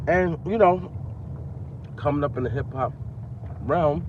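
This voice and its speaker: A man's voice: a little high, wildly swooping pitch, takes it slowly. A man in his forties from Long Island, New York, vlogging from his car at night, talking in a loose, repetitive way full of slang and fillers, his voice groggy. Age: 40s. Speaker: rapper and vlogger. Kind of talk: casual talking-to-camera rant. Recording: dull lo-fi phone audio with car noise.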